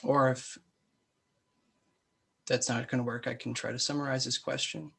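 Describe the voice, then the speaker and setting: A man hosting an online webinar, moderating questions informally in a polite, halting way. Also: medium pitch, little pitch movement, vocal fry, a bit bright, slightly rough.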